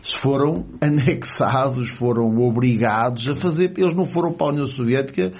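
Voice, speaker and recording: A man's voice: mid-range voice, brisk, darkish tone, breathy edge. A man with a European Portuguese accent in casual political chat, rambling with many fillers and restarts in an ironic tone.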